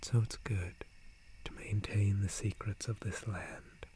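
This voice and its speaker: A man playing a gentle, calm, friendly dryad forest guardian in a breathy ASMR whisper, with a soothing manner. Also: on the low side. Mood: calm, reflective. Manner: slow, soothing, unhurried. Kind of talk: ASMR roleplay.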